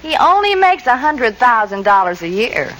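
A woman's voice: a little high, very animated, moderate pace, fairly bright tone, low-quality recording. A woman playing a girlish, teasing, starry-eyed young sweetheart in 1940s radio comedy. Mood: swooning.

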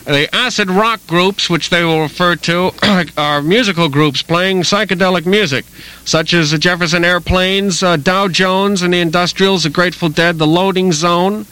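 Bright mock-authoritative man. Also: high voice, normal speed, poor audio. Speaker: comedy troupe member. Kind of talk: mock-informative explanation.

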